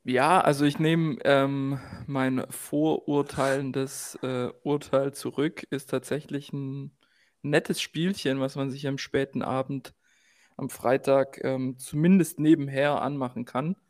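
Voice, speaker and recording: A man co-hosting a casual football podcast, giving measured analysis with frequent hesitations. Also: medium pitch, fairly even intonation. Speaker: podcast co-host.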